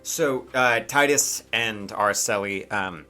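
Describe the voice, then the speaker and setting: A man's voice: on the low side, slow pace, pushed and bright. A man narrating a tabletop game as game master in a casual, conversational way, improvising as he goes and often checking in with his players. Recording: faint music behind the voice. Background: faint music.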